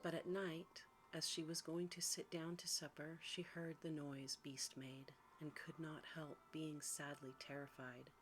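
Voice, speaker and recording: A woman reading a sleep story in a soft, quiet ASMR style, gently and without hurry, at times slipping into a breathy whisper. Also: deep voice, flat delivery, a little slow, few pauses, low-quality recording. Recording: faint background noise.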